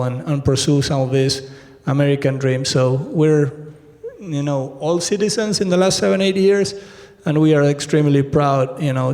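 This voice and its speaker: A man in his fifties with an Argentine accent gives a keynote to a conference crowd. He speaks in a loose, conversational way, full of fillers and restarts. His voice sits a little high, and it carries a long reverb from the big hall. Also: a little mellow. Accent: Argentine Spanish. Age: 50s. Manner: conversational, many fillers and restarts, addresses audience directly. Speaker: retail executive keynote speaker.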